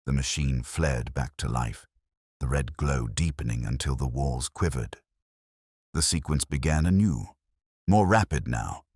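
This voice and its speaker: A man reading a dramatic story in a grave, measured storytelling manner. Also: rumbling low, a little mellow, husky, no echo, clean studio sound. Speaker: audiobook narrator. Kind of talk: scripted dramatic story narration.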